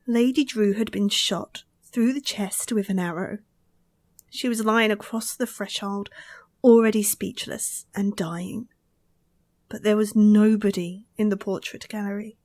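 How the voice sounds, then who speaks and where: A woman's voice: medium pitch, steady tone, measured pace, darkish tone, dry recording, lightly acted. An actress playing an anxious, earnest young woman, a professor's daughter, in a vintage-style radio mystery. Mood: shaken. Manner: earnest, dramatic.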